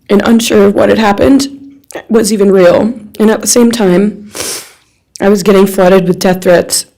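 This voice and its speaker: A woman's voice: medium pitch, mellow, low-quality recording. A young woman reading a written personal statement aloud in a courtroom on someone else's behalf. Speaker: friend reading a victim's statement on their behalf.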